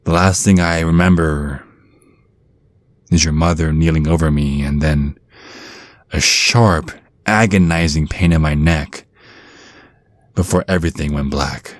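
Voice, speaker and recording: A man performs a centuries-old vampire bodyguard in an intimate ASMR roleplay. His male voice sits low, with a gruff, brooding earnestness that is fiercely protective. The sound is dry, with no room around it. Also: steady tone, a little slow, pauses often, no echo. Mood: somber, reminiscing.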